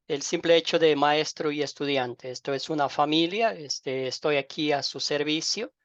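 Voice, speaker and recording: A middle-aged man with an Ecuadorian accent, a pastor informally welcoming an online class in a halting way, repeating words and using fond diminutives. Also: a little high, fairly bright tone, no echo. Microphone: online group call microphone. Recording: dull lo-fi online call audio.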